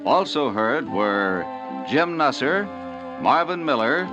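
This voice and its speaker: A man's voice: medium pitch, big pitch swings, slow pace. An American man working as a 1950s network radio announcer, with a formal, polished delivery. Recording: muffled 1950s radio recording over music.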